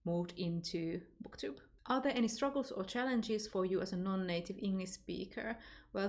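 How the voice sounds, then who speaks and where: A woman's voice: fairly low pitch, darkish tone. A woman from Finland with a Finnish accent, talking casually in a book vlog and answering tag questions with loose fillers and restarts. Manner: chatty, unscripted, with fillers and restarts. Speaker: book vlogger.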